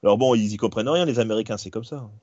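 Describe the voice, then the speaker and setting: A man's voice: fairly low pitch, racing, a little mellow, dry recording. A man co-hosting a casual group podcast, making mock-serious jokes. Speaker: podcast co-host. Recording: dry, muffled lo-fi sound.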